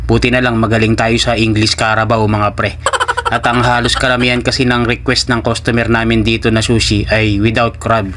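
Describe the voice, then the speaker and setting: A man's voice: medium pitch, little pitch movement, fairly quick, a bit bright, slight background noise. A man with a Filipino accent narrating a casual cooking vlog step by step in a matter-of-fact way, mixing in English terms. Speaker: food vlogger and restaurant sushi cook.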